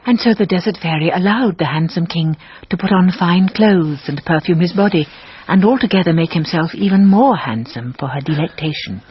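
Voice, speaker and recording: A woman's voice: fairly low pitch, moderate pace, slightly rough, muffled recording. A woman reading scripted fairy-tale narration with a wry manner.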